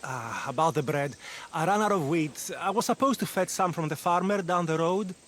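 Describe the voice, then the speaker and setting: A man voices a cheery, talkative baker and merchant in a video game. The voice is fairly high for a man, hearty and familiar. Faint outdoor ambience runs behind it. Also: moderate pace, a bit bright, a little hiss or hum, close to an everyday voice. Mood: bargaining, friendly. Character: jovial, chatty Greek market merchant and baker. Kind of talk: video game cutscene acting.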